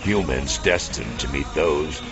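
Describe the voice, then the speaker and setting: A brooding dark fantasy narrator speaks extremely low, with gravel in every phrase. He is solemn, slow and portentous, reading scripted narration over clear music in slightly muffled audio. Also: expressive, full character voice. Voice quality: deep, gravelly.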